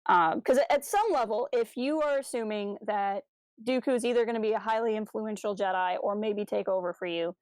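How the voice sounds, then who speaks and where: A woman's voice: medium pitch, normal speed, a little mellow, no echo. A woman co-hosting a podcast, talking through ideas in a casual, discursive way. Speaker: podcast co-host.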